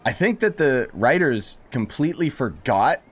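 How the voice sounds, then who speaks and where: A man's voice: medium pitch, sing-song, moderate pace, slight background noise. Sarcastic man doing gaming commentary. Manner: sarcastic, mocking. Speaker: gaming streamer. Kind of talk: casual playthrough commentary. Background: faint game music.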